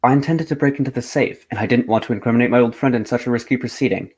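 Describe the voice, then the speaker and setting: An actor playing a composed, courteous gentleman detective in a radio drama, speaking in a formal, measured way. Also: medium pitch, slightly rough, natural voice. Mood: earnest recounting.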